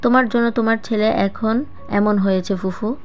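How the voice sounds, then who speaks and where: A woman voicing a wronged young niece who speaks with calm, firm reproach in an audio story. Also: medium pitch, normal speed, highs cut off, soft background music, natural voice.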